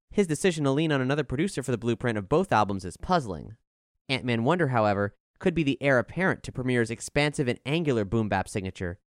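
A man's voice: mid-range voice, no room sound. Articulate man reading a scripted review, dry recording. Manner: measured, articulate, formal wording. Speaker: album reviewer.

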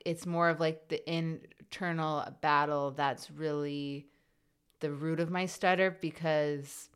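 A woman who stutters, interviewing a guest in an earnest, reflective way, with repeated sounds and pauses. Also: deep voice, fairly bright tone, clear, resonant tone. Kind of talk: conversational interview.

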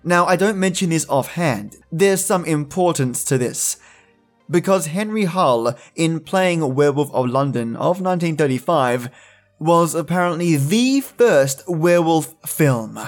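Higher-pitched male podcast host. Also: animated, measured pace, soft background music. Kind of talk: conversational podcast commentary to listeners.

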